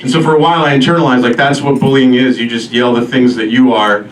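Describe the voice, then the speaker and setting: Male stand-up comic, deadpan and self-deprecating, mid pitch, recorded at a distance in a noisy live room. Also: quick pace. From Albania. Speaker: stand-up comedian. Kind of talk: stand-up comedy set. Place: live comedy show before an audience. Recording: roomy echo, distant mic, noisy live venue.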